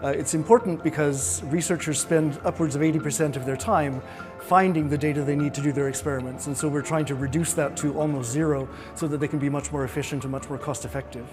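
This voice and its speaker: A man with a Canadian accent, a researcher explaining his work clearly in an interview. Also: on the high side, fairly even intonation, moderate pace, music in the background. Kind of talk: interview answers in English.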